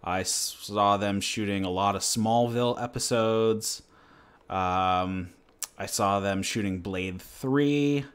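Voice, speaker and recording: Low-voiced laid-back man rambling casually. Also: slow pace, clean tone. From New Hampshire, USA. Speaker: channel host. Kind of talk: casual livestream chat and Q&A.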